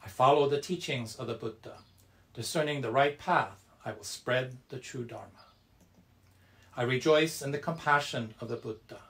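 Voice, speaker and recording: A man leading a Buddhist temple service, reciting and guiding meditation in a measured, solemn, deliberate way. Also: medium pitch, a bit bright. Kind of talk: reverent recitation and guided meditation.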